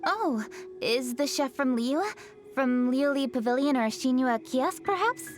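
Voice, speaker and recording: A woman's voice: high voice, expressive, a little slow. A woman voicing a poised, diligent young noblewoman official in an anime game, formal, composed and earnest. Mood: attentive. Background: music.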